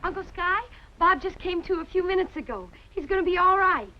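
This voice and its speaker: An actress playing an earnest, caring young niece on a telephone relayed over radio, in a muffled old recording. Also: female voice, very high voice, lively intonation, a little fast. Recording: muffled, faintly noisy vintage radio recording.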